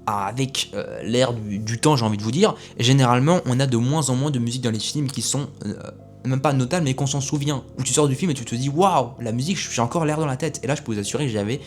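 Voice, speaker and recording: Fast-talking young man reviewing a film. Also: mid-range voice, faint music behind. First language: French. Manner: rambling, lots of fillers and restarts.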